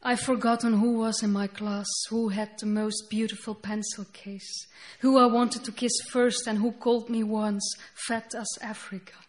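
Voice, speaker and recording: A woman's voice: fairly high pitch, level tone, a little mellow. Dutch-accented woman reading poetry. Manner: slow, deliberate reading with halting English asides. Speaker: poet. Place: festival stage before an audience.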